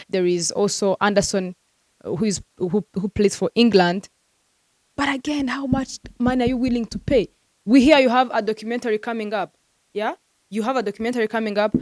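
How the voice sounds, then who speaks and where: A woman's voice: mid-range voice, darkish tone. A chatty woman rattles through football transfer gossip in a casual vlog, full of fillers and direct asides to her viewers. She has a middle-range voice and sounds like an exasperated fan. The sound is dry and close, with no room echo. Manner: exasperated, venting as a frustrated fan. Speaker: football transfer news host.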